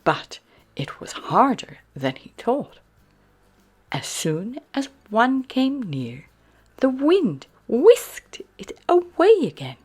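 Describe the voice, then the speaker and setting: A woman reading a picture book aloud to children, expressively and with breathy, deliberate emphasis. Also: on the high side, huge pitch swings, darkish tone. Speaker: children's storyteller. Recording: music behind the voice.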